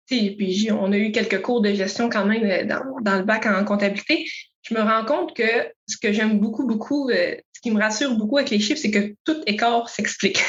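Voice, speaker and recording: A woman's voice: on the high side, dull audio. A young woman from Quebec with a Québécois accent, answering interview questions thoughtfully with many fillers. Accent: Québécois French. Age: young adult. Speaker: accounting student guest.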